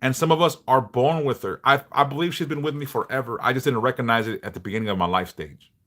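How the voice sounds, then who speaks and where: A middle-aged man a big bass voice, chatting bluntly and passionately on a livestream, swearing freely. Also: fast talker, a bit bright. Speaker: livestream host, devotional teacher. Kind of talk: casual livestream chat.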